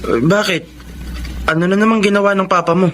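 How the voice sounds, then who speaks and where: An actor playing an earnest, protective young brother in a Tagalog radio drama. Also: male voice, sits high, fairly even intonation, brisk, a bit bright, a little hiss or hum. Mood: concerned. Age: young adult. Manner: earnest, emotional.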